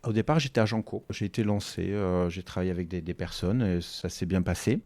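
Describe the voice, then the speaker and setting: Man in his forties, lowish voice, chatty, repeats himself, dry close sound. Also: brisk, darkish tone, no room sound. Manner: chatty, repeats his own phrases, acts out quoted dialogue. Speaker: guest, real estate agency founder. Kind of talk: casual interview storytelling.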